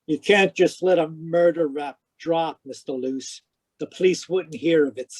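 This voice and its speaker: A man plays a hard-boiled private eye in a vintage-style radio mystery. His voice sits fairly high, and he is wry, persistent and matter-of-fact. The sound is very dry and close. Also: a little slow, husky, no echo. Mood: pressing. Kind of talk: old-time radio detective narration and dialogue. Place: close dry sound, remote live performance.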